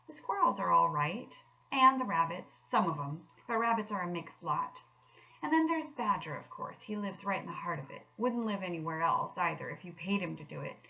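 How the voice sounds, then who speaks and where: A woman's voice: on the low side, lively intonation, moderate pace, creaky, bright, forceful tone, muffled recording, full character voice. Woman reading chummy, fussy storybook water rat. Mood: hesitant, guarded. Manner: chummy, emphatic, old-fashioned turns of phrase. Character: confident, fussy male water rat, river-loving. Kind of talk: children's storybook read aloud.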